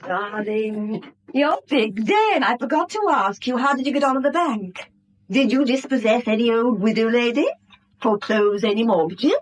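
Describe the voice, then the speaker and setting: An actress playing a chatty, affectionate, pushy English wife in a 1970s radio drama. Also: female voice, a little high, normal speed, natural voice, rough recording. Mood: insistent.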